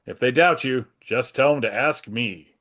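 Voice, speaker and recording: A man's voice: medium pitch, measured pace, a bit bright, close to an everyday voice. A man voicing an earnest male superhero in a dramatic comic book reading. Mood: reassuring.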